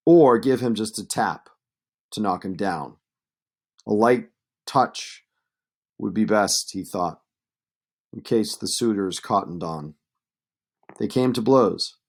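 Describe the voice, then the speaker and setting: Slow, low-voiced male narrator. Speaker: reader, narrator. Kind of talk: read-aloud narration of epic poetry.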